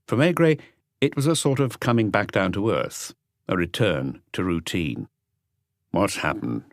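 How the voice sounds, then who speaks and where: A man's voice: lowish voice, big pitch swings, normal speed, dry recording, studio quality. A man reading a crime novel aloud as scripted literary audiobook narration.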